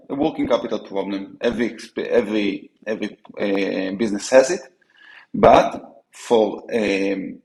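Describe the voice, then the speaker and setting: A man with an Israeli accent, a company co-founder answering interview questions, halting with frequent fillers and restarts. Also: mid-range voice, darkish tone, echoey room, low-quality recording. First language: Hebrew. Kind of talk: business interview.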